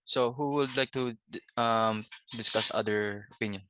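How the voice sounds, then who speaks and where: A young man with a Filipino accent leads a class discussion. His voice is bright and smooth, and he reads his opinion carefully with a few restarts. The recording is dry and close. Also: on the low side, steady tone, normal speed, dry recording. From Philippines. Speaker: student discussion moderator.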